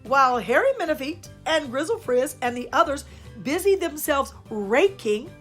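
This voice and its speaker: A woman hosting a children's read-aloud, telling stories and talking to young listeners with deliberate, emphatic phrasing. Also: high voice, animated, faint music behind. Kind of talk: expressive picture-book read-aloud and talk to children.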